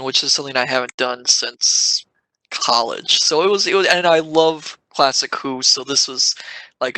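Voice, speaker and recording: Guy on a panel talking casually, bright punchy voice, really dry audio. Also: medium pitch, no room sound, rough recording. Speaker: amateur radio play actor.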